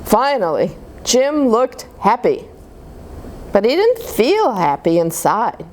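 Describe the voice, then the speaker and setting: Female picture-book reader, higher-pitched and slow-paced, for children's storytime; noisy recording. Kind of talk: animated picture book read-aloud. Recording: noticeable steady background noise.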